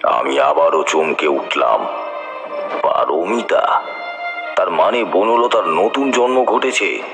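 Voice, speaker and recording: A man tells a horror tale in an extremely deep, creaky voice. He moves slowly, with suspenseful pauses, over background music. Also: expressive. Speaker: story narrator, in first person. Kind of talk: slow dramatic horror story narration.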